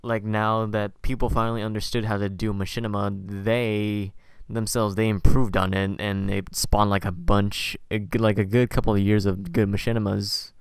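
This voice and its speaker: A man hosting a podcast in casual conversation, talking in a rambling, halting way and often correcting himself. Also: on the low side, little pitch movement, clear, resonant tone, no echo. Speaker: podcast host. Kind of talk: casual interview chat.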